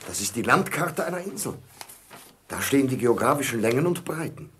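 An actor playing an enthusiastic, self-assured baron with a husky voice and grand, emphatic manner in a German radio play. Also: male voice, medium pitch, sing-song, brisk, a bit bright, slight background noise. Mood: excited, grand.

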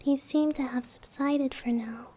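A woman voicing a gentle, childlike dream-guardian deity in a hushed, reassuring ASMR roleplay, often close to a whisper. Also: high-pitched, steady tone, unhurried, breathy edge. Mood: protective, gentle. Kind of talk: ASMR roleplay, soft soothing delivery.

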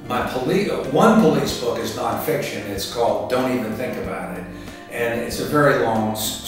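A man in his sixties giving casual interview answers in a rambling, halting way, often repeating words. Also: medium pitch. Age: 60s. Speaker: author and martial arts instructor being interviewed. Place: indoor room by a curtained window. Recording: echoey room, distant mic, music underneath. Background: background music.

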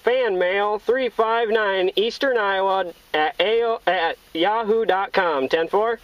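A man's voice: sits high, takes it slowly, slight background noise. An American man chatting casually in CB radio jargon from a vehicle, with a folksy, jovial manner. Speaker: CB radio operator. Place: inside a parked or mobile vehicle. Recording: dull, lo-fi in-vehicle sound.

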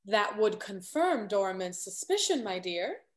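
A woman's voice: medium pitch, big pitch swings, unhurried. A young woman playing a needling society confidante in a period stage comedy, arch and theatrical. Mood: cautioning. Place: indoor room, video call.

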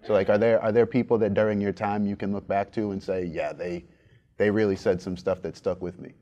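A male moderator asks casual, encouraging questions. His voice is very deep and dark. The sound is dull and muffled, as if from a distant mic. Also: fairly even intonation, a little fast. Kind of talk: panel interview.

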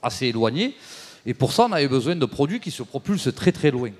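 A man in his forties giving an animated, explanatory talk on a trade show stage into a handheld mic, with many fillers. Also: mid-range voice, fast. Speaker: fishing tackle presenter. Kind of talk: casual live demo talk. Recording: long reverb of a hall.

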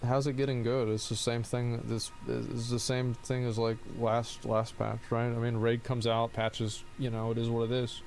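A tired man in his thirties streaming, reading viewer questions and answering in a rambling monotone full of fillers and restarts. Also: mid-range voice, moderate pace, gravelly, hardly any pauses, faint music behind, poor audio.

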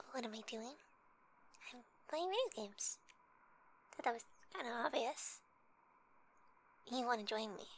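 Woman acting as flirty gamer gf, slow bright higher voice, asmr roleplay, teasing n competitive. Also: heavily acted. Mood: playful teasing. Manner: teasing, casual, often dropping into whispers.

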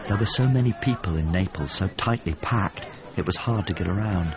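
An actor playing a sombre painter recounting his memories in measured, literary radio drama narration. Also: sits low, close to an everyday voice. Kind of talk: scripted first-person radio drama narration. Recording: dull sound, busy crowd ambience.

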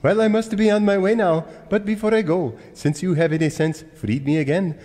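High-voiced, fussy, pompous genie. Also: male voice, brisk, dark tone, in a large hall. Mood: formal. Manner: pompous, formal. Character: fussy, formal, bewildered genie from a coffee pot. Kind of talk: parody old-time radio serial acting.

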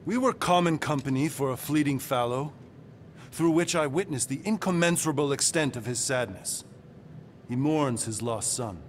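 A man's voice: mid-range voice, expressive, normal speed, a little hiss or hum, natural voice. A man playing a proud, brooding cosmic warrior in a video game, speaking in ornate, archaic, alliterative phrases. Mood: grave, solemn. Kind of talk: theatrical video game acting.

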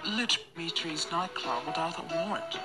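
A woman's voice: on the low side, normal speed, loud music behind the voice, natural voice. An actress playing a sly, confident female spy in a video game. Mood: sly, teasing.

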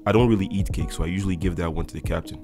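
Deep-voiced young man over loud music. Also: racing. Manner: upbeat, explanatory, addresses viewers directly. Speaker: airline pilot and video host. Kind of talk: scripted voice-over narration.

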